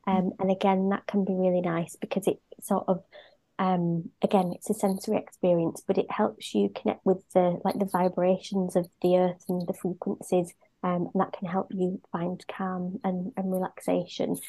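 A mother talking in a gentle, reflective way as a guest, with frequent fillers. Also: lowish voice, little pitch movement, moderate pace, poor audio. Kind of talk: casual conversation.

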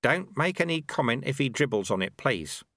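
A man's voice: medium pitch, very animated, normal speed, a bit bright, no echo, studio quality, pitched above the performer's own voice. A man voicing a wily old male martial arts master who teases and bosses his pupil. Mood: sly teasing. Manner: sly, teasing, bossy.

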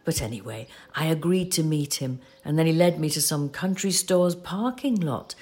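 Actress as a lilting, patient elderly wife. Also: female voice, deep voice, animated, normal speed, faint music behind. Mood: reminiscing. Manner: conversational, gently explaining, reassuring. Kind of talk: audio play acting with reflective narration.

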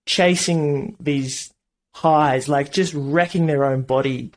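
A man's voice: on the high side, steady tone, slow pace. An Australian man, a band member, talking in a casual interview, hesitant and thoughtful. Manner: hesitant, thoughtful, lots of fillers.

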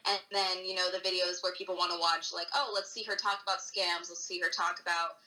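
A young American woman chatting as an interview guest. Her voice is bright and a little low-pitched. She talks thoughtfully, pausing on "um" and "like". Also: level tone, rough recording. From United States. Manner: thoughtful, hesitant with many "um"s and "like"s. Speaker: guest YouTuber. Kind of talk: casual interview chat.

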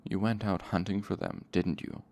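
A man voicing an excitable, easily offended young male fae servant who hisses and splutters, in a storybook read aloud. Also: sits low, moderate pace, no echo. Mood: indignant. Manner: indignant, hissing and spluttering.

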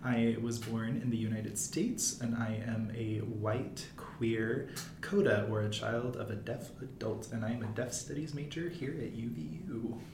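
A man's voice: medium pitch, fairly even intonation, a little slow. A young man hosting a student podcast, chatty and friendly with lots of fillers, wearing headphones at a mic. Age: young adult. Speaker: podcast host. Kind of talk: casual student podcast chat. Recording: echoey room, faint noise.

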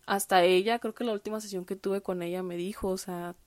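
A young woman speaks in a candid, chatty way, full of fillers and restarts. Her voice sits at an ordinary middle pitch. The recording is close and dry. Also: steady tone, brisk, darkish tone, no room sound. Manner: candid, chatty, with frequent fillers and self-corrections. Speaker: podcast host. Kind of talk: casual personal monologue. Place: lives in the United States.

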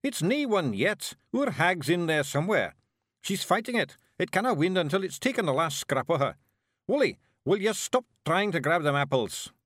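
A man voicing a tiny, fierce male fairy warrior with a broad Scottish accent, excitable and shouty. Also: high-pitched, normal speed, no echo, clean studio sound, pitched above the performer's own voice, heavily acted. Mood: excited.

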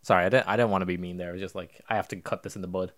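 A man's voice: sits low, steady tone, racing, a bit bright. A young man hosting a podcast, chatting casually with teasing, swearing banter. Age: young adult. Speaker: podcast host. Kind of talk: casual chat.

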